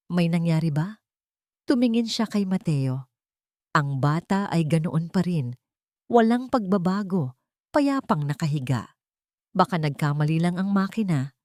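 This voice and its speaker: A woman's voice: fairly low pitch, animated, unhurried, long pauses, a little mellow, no room sound, studio quality. A woman narrating a scripted dramatic story as an audio drama.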